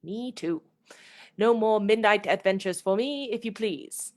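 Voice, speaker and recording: A dreamy young Victorian lady played by a woman with a medium-pitched voice. She sounds airy, wistful and reassuring, as in a radio drama about a spellbound heroine. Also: measured pace, darkish tone, lightly acted. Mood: bright, reassuring. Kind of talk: radio play acting.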